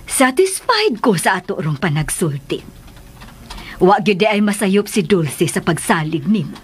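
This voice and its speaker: An actress with a Filipino accent and husky voice playing a kindly but firm, protective middle-aged boss in a radio drama. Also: female voice, mid-range voice, sing-song, a little slow, a little hiss or hum. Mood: pleased, approving.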